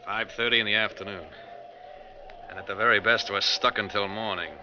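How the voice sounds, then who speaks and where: A man's voice: on the low side, fairly even intonation, brisk, bright, forceful tone. An actor playing a level-headed, suspicious male passenger, curt and pointed, in 1940s radio drama style. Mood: wary, measured. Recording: muffled, noisy 1949 radio recording.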